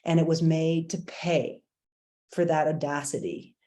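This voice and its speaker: A woman speaking off the cuff as a panelist on a video call, reflective and halting. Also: low voice, little pitch movement, warm tone. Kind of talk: unscripted panel remarks.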